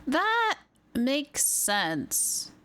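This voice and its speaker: A woman's voice: high voice, wildly swooping pitch, very slow, background noise. A woman game streamer chatting casually, thinking aloud as she reads and answers viewer comments. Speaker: game livestreamer. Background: faint game music.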